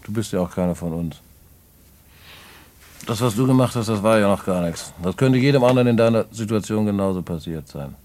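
Radio drama: male actor as jailed petty crook, low-pitched voice, colloquial, rueful, streetwise and fatalistic. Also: a little fast, a little mellow, natural voice. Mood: firm, resigned. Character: streetwise male small-time crook in jail, wistful, fatalistic. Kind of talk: naturalistic German radio play acting.

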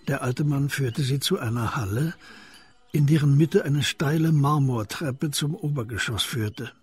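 Mid-pitched male storyteller, measured. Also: darkish tone, husky, soft background music. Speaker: narrator. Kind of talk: scripted literary crime narration.

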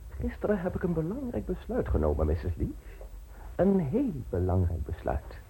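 An actor playing a pompous, broke, out-of-work stage actor in a radio drama, speaking in a theatrical, grandiloquent manner. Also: male voice, high-pitched, huge pitch swings, normal speed, mellow, slightly rough, full character voice, rough recording.

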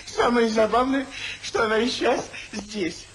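High, sharp, sarcastic older husband. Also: male voice, fairly even intonation, measured pace, rough recording. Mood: flustered. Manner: sardonic, theatrical, blustering. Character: excitable, sarcastic older husband, wounded English gentleman. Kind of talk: 1950s radio stage-comedy acting. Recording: hissy 1950s radio play recording.

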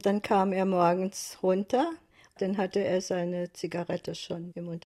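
A woman with a slightly low voice speaks as an interviewee. She reminisces in a casual, colloquial way. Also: steady tone, measured pace, dark tone. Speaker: interviewee, translator's widow.

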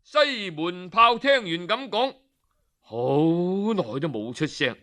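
A man telling a traditional folk tale in a measured, expressive way. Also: high-pitched. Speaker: storyteller.

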